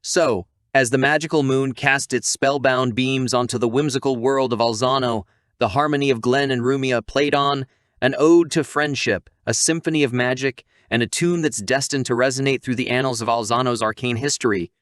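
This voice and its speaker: A man's voice: mid-range voice, steady tone, moderate pace, fairly bright tone, no room sound, studio quality. A man narrating a scripted story recap in a playful, theatrical way, addressing the audience like a storyteller.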